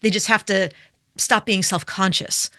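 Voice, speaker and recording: A woman and public speaking expert, explaining with animated vocal demonstrations and questions in conversation. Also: medium pitch, unhurried, no room sound.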